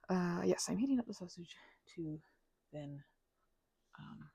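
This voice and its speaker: A woman rambling casually as an ASMR host, mumbling in a low-key, musing way, her voice hushed and often slipping toward a whisper. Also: expressive, unhurried, gravelly, husky, dry recording. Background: keyboard clicking.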